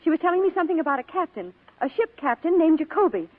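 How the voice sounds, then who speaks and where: An actress playing an anxious, excitable detective's secretary in 1940s radio style, on a tinny vintage broadcast. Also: female voice, very high-pitched, quick pace. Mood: alarmed. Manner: excitable, startled, eager. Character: anxious, breathless young female detective's secretary.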